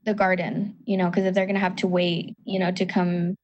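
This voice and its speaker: A woman's voice: on the low side, flat delivery, a little fast, highs cut off, slight background noise. Woman, board member, rambling, lots of um and you know. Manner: rambling, many fillers. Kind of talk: casual meeting talk.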